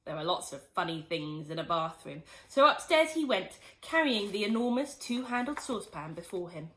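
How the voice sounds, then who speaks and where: Expressive woman teacher reading a storybook. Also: medium pitch, normal speed, poor audio. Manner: expressive storytelling, lively emphasis. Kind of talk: reading a children's book aloud.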